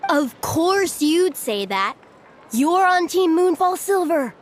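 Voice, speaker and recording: High, lively teasing girl. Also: takes it slowly, a little hiss or hum, heavily acted. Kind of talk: anime game voice acting.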